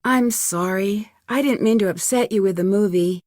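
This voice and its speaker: A young American woman voicing an earnest, curious and polite amateur detective in an adventure game, with clearly enunciated lines. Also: mid-range voice, moderate pace, a little mellow, dry recording, lightly acted. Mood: gentle, sympathetic. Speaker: voice actor for a game's detective heroine. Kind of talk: scripted adventure game dialogue.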